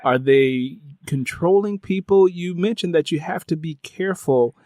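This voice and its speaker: A man hosting an interview podcast, speaking in drawn-out, emphatic phrases. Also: on the high side, darkish tone, no room sound, studio quality.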